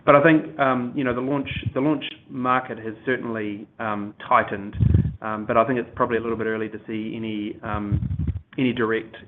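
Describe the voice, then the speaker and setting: The even-toned male executive on an investor call, feeling his way through a technical answer over a slightly hissy narrow line. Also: medium pitch, fairly quick. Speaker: company executive answering analysts. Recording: muffled phone-like line with faint noise.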